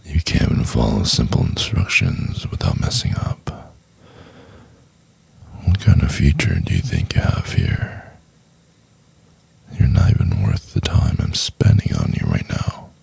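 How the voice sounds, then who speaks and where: A man playing a cruel, domineering corporate executive in an intimate ASMR roleplay, condescending and drawn-out, with a gravelly, husky, half-whispered voice. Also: a bass voice, a little mellow, heavily acted.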